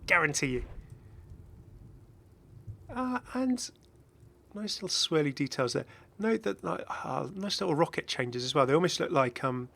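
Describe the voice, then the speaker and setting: Chatty guy hosting a gaming video, bright voice w some creak, rambles off into keen little asides. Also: on the high side, animated, normal speed, pauses often. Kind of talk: casual off-the-cuff game commentary.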